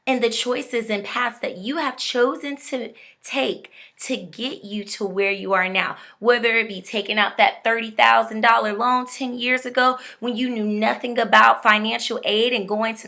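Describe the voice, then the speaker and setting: A woman's voice: fairly high pitch, creaky, pushed and bright. A young woman giving an emphatic, preachy motivational talk straight to her viewers. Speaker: motivational speaker.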